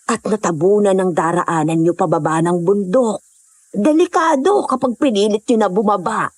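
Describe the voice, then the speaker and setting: A woman's voice: medium pitch, normal speed, a little mellow, no echo, lightly acted. A woman playing a hospitable older mountain dweller in an audio drama, motherly and reassuring. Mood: cautioning.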